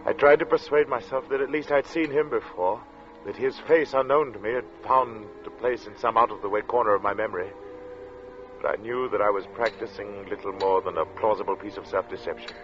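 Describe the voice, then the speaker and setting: A man acting as an uneasy, introspective gentleman who narrates an eerie tale in 1940s radio suspense style, measured and literate. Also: fairly low pitch, close to an everyday voice. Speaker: narrator and protagonist. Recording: muffled old radio drama recording.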